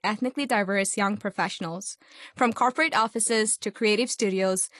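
A young woman from Hong Kong hosting an interview, reading a scripted intro and asking questions in a measured presenter manner. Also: medium pitch, a bit bright, no echo, studio quality. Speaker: podcast host.

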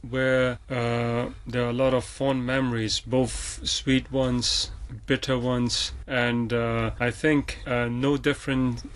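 A middle-aged man answers as an interview guest. His pitch is average and stays quite flat, and he talks in a measured, earnest, reflective way. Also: clear, resonant tone. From Hong Kong.

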